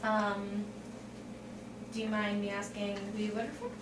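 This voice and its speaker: A woman's voice: mid-range voice, little pitch movement, a little slow, noisy background. Young woman asking questions, roomy sound. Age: 20s. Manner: friendly, gently curious questions. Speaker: interviewer. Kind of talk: sit-down interview. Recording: roomy, distant mic, music behind.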